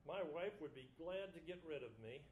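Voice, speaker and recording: A man acting as awkward middle-aged lawyer, higher-pitched, hesitant, coaxing husband, stage reading, faint background noise. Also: expressive, darkish tone, natural voice, rough recording. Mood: matter-of-fact. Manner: hesitant, halting, stilted. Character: plump middle-aged male lawyer, awkward, wheedling, married. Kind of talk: staged reading of a comic short story.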